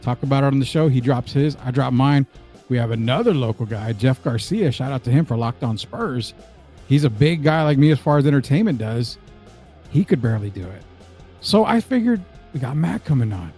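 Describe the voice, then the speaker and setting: A man's voice: medium pitch, animated, a little fast, dark tone, soft background music. A man hosting an entertainment show, chatting informally with a guest. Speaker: host of an entertainment and sports show. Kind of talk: casual interview chat.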